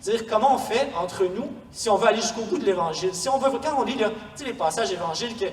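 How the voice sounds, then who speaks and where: A man's voice: sits high, lively intonation, fast. A man with a Quebec French accent giving an informal, explanatory workshop presentation in a conversational way. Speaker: parish pastoral coordinator, workshop speaker. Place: large echoey room before an audience. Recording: distant mic, echoey hall, faint noise.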